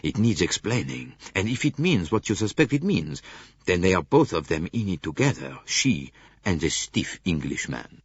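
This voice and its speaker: A man voicing a courteous, puzzled gentleman in an audiobook, with a slow, doubtful manner. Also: sits low, big pitch swings, slightly rough, dry recording, exaggerated voice. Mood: thoughtful. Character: puzzled, courteous male French-speaking gentleman, doubtful friend. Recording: muffled lo-fi audiobook recording.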